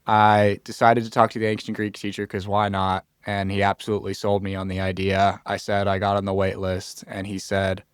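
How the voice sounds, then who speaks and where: A man's voice: lowish voice, completely flat delivery, fairly quick, few pauses, smooth, dry recording, clean studio sound. A young man from Florida, a college freshman guest on a podcast, talking earnestly and thinking aloud. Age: young adult. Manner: earnest, thinks aloud with restarts and quoted asides. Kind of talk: casual interview chat.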